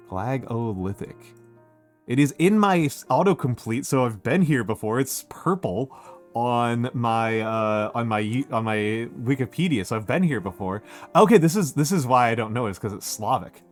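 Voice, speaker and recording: An American man at a middle pitch, chatting loosely with his viewers and circling back over his own words with plenty of ums and uhs. Quiet music plays faintly underneath. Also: expressive, moderate pace. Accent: American English. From United States. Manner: rambling, many fillers and repeated phrases. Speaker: streamer. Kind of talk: casual livestream chat reading viewer messages.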